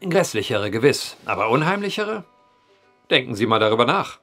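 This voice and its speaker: An actor playing a brooding, eloquent storyteller at a bar in an audio drama, wordy and theatrical. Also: male voice, medium pitch, sing-song, normal speed, fairly bright tone, soft background music. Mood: brooding, insistent.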